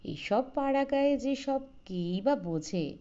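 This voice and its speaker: A woman's voice: a little high, expressive, unhurried, warm tone, muffled recording. A woman voicing a smug, educated young man from the city in an audio story, lecturing in a condescending way.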